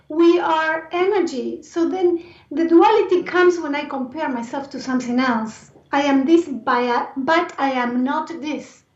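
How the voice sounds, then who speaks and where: A woman from Argentina with an Argentine Spanish accent and a raspy voice, speaking earnestly and reflectively as an interview guest. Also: sits high, a little mellow. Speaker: interview guest, life and movement coach. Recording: roomy echo, distant mic, dull sound.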